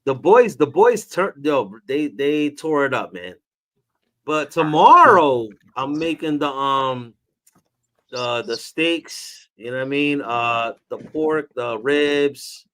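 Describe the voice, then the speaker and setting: Man, host, live stream watch party, casual slangy chat, laughs a lot, says bro, voice a bit higher than usual for a man. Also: lively intonation, slow pace, pushed and bright. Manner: laughs often, slangy, calls people bro and brother.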